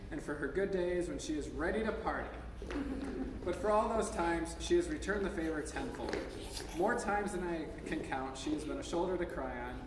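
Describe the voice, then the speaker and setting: An American man in his thirties reading an essay aloud to a gathering in an informal way, now and then stumbling over his words. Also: on the high side. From United States, Minnesota. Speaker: speaker at a memorial service. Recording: echoey hall from far off, noisy.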